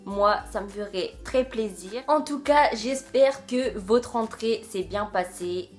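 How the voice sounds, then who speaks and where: Young French woman, casual vlogger, halting chatty delivery, faint music underneath. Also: mid-range voice, darkish tone. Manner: chatty, many fillers and hesitations. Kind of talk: casual video chat.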